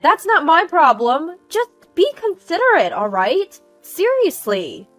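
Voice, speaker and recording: A woman voicing an aggressive, nagging housewife neighbor in her forties, loud, demanding and scolding, in a narrated story. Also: very high-pitched, very animated, slow pace, dry recording, faint music behind, voice pushed up, full character voice. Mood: angry dismissive.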